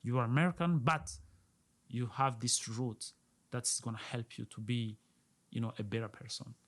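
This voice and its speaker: A man's voice: mid-range voice, moderate pace, a bit bright. An earnest man from Burundi in middle age talks as a podcast guest in a mid-range voice. He presses his points by repeating words as he speaks. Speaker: restaurant owner, guest. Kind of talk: casual interview talk.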